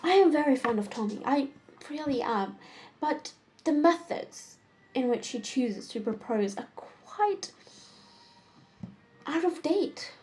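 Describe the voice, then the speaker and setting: A young woman playing a witty, fussy upper-class society lady in a stage comedy monologue, deliberate and complaining. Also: high voice, animated, darkish tone, poor audio. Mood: fond, exasperated.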